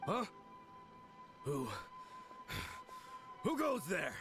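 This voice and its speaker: An actor playing a possessed, crazed village man in an anime game, melodramatic, with drawn-out delivery. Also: high-pitched, sing-song, over music, exaggerated voice. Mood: startled. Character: possessed villager, crazed and swaggering about manliness.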